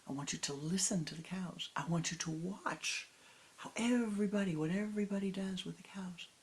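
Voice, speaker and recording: A woman voicing a wise, gentle old grandmother who speaks in a soft whisper, in a told story. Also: on the low side, moderate pace, breathy edge. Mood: solemn.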